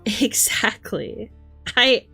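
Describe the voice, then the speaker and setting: A woman's voice: fairly high pitch, animated, extremely slow, vocal fry, pushed and bright, breathy edge, faint music behind. A woman hosting a livestream, talking casually and emphatically with plenty of swearing as she rants and chats with commenters. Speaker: livestream host.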